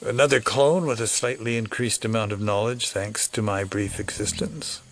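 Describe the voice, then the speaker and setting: An actor playing a cynical, world-weary older conspirator, measured, fatalistic and wry, in an audio drama. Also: male voice, fairly low pitch, a little hiss or hum, natural voice. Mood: resigned.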